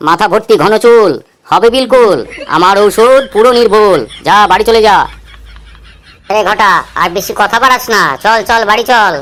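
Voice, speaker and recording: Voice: mid-range voice, normal speed, fairly bright tone, lightly acted. An actor voicing a sly, bossy fake holy man in a comic cartoon. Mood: smug, instructing.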